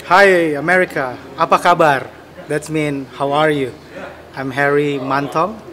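A man's voice: fairly high pitch, fairly even intonation, a little slow, pushed and bright. A man in his twenties with an Indonesian accent, introducing himself casually to camera. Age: 20s. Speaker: singer. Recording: crowd noise behind the voice. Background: crowd sound.

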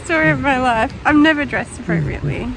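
A woman in her thirties chatting casually outdoors in a travel vlog, cheerful and quick to laugh, filmed on a phone at arm's length. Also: sits high, sing-song, background noise. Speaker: travel vlogger. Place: outdoors by a lake. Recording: music playing behind the voice.

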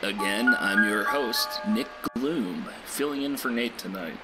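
A man's voice: lowish voice, a little slow, over loud music, natural voice, rough recording. A man playing a bland, awkward late-night radio host in a video game, delivering talk show patter. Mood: awkward, mild. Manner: bland, mild, self-doubting.

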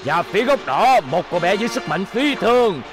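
A man's voice: sits high, very animated, normal speed, music in the background. A man voicing adult characters in a cartoon dub, emphatic and theatrical. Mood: showy. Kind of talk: dramatic cartoon dubbing.

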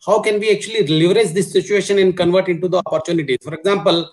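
High-voiced Indian man explaining on a panel. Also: steady tone, very fast, a little mellow. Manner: repeats filler words like basically and actually. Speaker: panelist, supply chain executive. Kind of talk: explanatory business panel talk. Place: indoor room on a video call. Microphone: video call.

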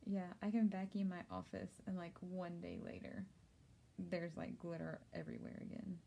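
Chatty woman with a gravelly voice. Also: lowish voice, fairly even intonation, normal speed, warm tone, slight background noise. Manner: chatty, laughs often, reads and answers viewer comments. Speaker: crafter doing a tumbler tutorial. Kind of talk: casual live-stream chat while crafting. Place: home craft office.

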